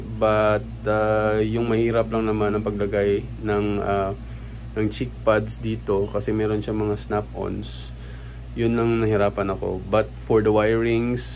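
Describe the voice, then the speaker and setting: A man in his thirties with a Filipino accent, giving a casual product review in a halting, hesitant way. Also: on the low side, darkish tone. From Philippines. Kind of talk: casual unboxing and review chat mixing languages. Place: small room at home. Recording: noisy phone or camera recording.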